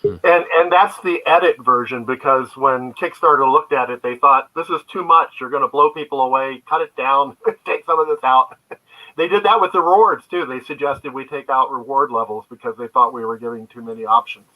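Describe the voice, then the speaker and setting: Very bright-voiced man explaining his work. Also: medium pitch, normal speed, rough recording. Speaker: guest, comic book creator. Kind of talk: conversational interview answers, storytelling. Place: remote online video call.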